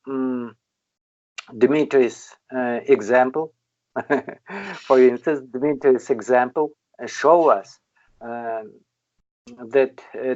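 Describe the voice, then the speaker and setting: Slow, halting older man. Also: mid-range voice, darkish tone, no room sound. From Kalmykia, Russia. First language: Kalmyk. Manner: halting, with many long hesitations. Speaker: English learner in an online conversation group. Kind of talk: casual chat. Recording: muffled, dry online call.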